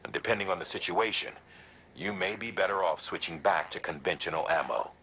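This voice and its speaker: Very deep-voiced formal mission commander. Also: male voice, creaky, sharp tone, husky, exaggerated voice. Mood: measured, instructive. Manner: formal, instructive, deliberate. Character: stern, measured male mission commander giving instructions. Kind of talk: scripted video game radio briefing.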